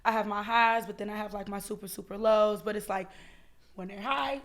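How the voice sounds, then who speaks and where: A woman of twenty-five talking as a guest on a casual podcast, reflective, drifting into quiet trailing asides. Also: medium pitch, fairly even intonation, brisk.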